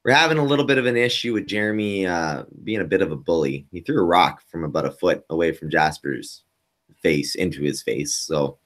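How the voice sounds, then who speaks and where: A young man with a boomy voice, the host, talking candidly about his kids over a video call from a home studio. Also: a little fast, a bit bright. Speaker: podcast host. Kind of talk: casual interview chat.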